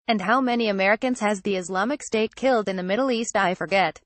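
A woman's voice: mid-range voice, fast, no room sound. Woman steadily reading a column aloud. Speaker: reader of an opinion column. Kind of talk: read-aloud opinion column.

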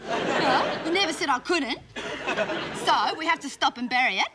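Woman doing cheeky aussie schoolgirl on stage, high voice, rambling indignant gossip, loud crowd behind. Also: animated, normal speed. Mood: gossipy, cheeky. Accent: Australian. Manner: breathless rambling slangy storytelling. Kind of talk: stand-up comedy character monologue. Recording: live stage, loud audience laughter and noise. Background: loud laughing crowd.

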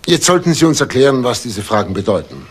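An actor playing an indignant homeowner in a crime drama, speaking formally and haughtily with a slightly husky voice. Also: male voice, mid-range voice, big pitch swings, fast talker. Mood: wary, questioning. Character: indignant, well-off married male homeowner under questioning. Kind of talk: German TV crime drama acting.

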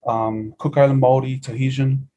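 A man's voice: medium pitch, flat delivery, normal speed, dark tone. A middle-aged man, a musician guest telling his story in a laid-back, easygoing way over a video call. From New Zealand. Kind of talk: casual interview chat.

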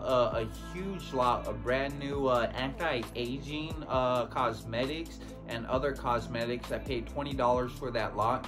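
A man in his thirties hosting a casual product rundown to camera, seated in a living room. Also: medium pitch. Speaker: video host, online reseller.

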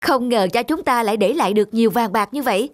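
Woman voicing high-pitched cartoon folk tale character. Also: fast, no room sound, clean studio sound, close to an everyday voice. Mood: earnest. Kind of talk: animated folk tale dubbing of several characters.

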